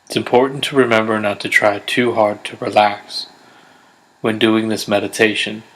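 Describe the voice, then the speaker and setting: Low, even-voiced man guiding meditation. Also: poor audio. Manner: gentle, unhurried, measured instruction with pauses. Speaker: meditation guide. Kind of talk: guided body scan meditation. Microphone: close USB condenser microphone.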